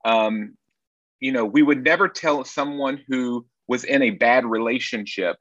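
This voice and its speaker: A man's voice: mid-range voice, unhurried, fairly bright tone, no echo, muffled recording. A man from Nashville, Tennessee, speaking as a sex therapist guest in an earnest, wry, reflective way. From Nashville, Tennessee, USA. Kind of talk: conversational interview answers.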